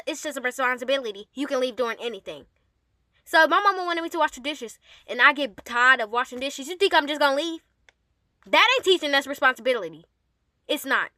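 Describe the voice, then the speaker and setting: A woman telling a personal story casually to her audience, with an animated, emphatic and sassy manner. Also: a little high, no room sound, clean studio sound. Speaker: storyteller and host addressing an audience.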